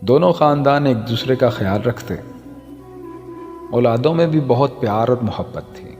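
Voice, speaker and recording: A man narrating a scripted moral story as a storyteller, in a measured, formal literary manner. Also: mid-range voice, darkish tone. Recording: long hall reverb, music underneath. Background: background music.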